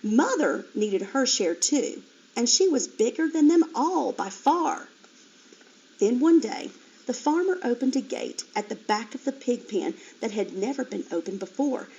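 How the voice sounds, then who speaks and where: A woman teacher reading a children's chapter book aloud with expressive, lively storytelling, on a dull lo-fi recording. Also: a little high, measured pace, breathy edge.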